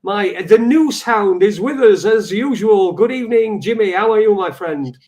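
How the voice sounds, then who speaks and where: A man with a Sheffield accent whose voice sits high for a man. He co-hosts a live-stream show and rambles through a chatty, loose opening. He swears casually and never hurries to the point. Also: animated, normal speed, a little mellow. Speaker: co-host.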